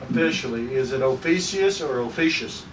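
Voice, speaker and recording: A man with a medium-pitched voice makes casual interjections at a table read. He is far from the microphone in an echoey, noisy room. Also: animated, brisk.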